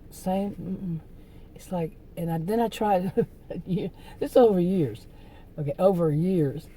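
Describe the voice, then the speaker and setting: A woman vlogging casually to her channel, talking in a rambling, halting way with frequent restarts. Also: fairly low pitch, warm tone, a little hiss or hum. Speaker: channel host. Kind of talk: casual talking-to-camera vlog.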